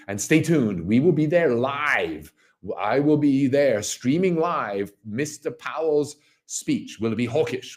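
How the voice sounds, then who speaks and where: A man with a slightly hoarse voice hosting a casual livestream market commentary, rambling and emphatic, repeating phrases and posing rhetorical questions. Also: mid-range voice, a little mellow. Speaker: livestream host, company founder.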